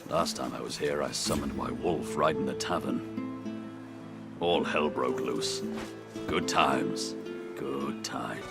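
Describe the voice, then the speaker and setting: An extremely deep, gravelly adventurer. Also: male voice, slow, pauses often, loud music behind the voice, full character voice. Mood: amused, nostalgic. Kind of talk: video game character acting.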